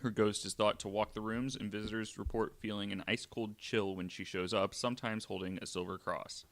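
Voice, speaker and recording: A young adult man hosting a podcast, reading from notes with frequent "uh" fillers and adding wry, deadpan asides. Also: on the low side, brisk. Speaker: podcast host.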